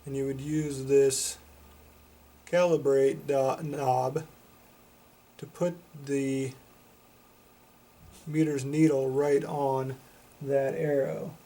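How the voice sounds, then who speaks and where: Even-toned man demonstrating a product. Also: mid-range voice, drawn-out words, pauses often. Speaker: product reviewer for a CB radio shop.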